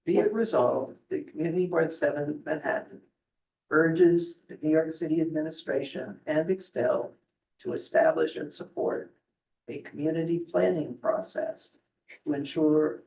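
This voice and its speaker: Gravelly man reading a resolution formally. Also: a little high, measured pace, a little mellow, roomy sound, distant mic, rough recording. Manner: reads formally, then terse agreement. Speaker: committee member.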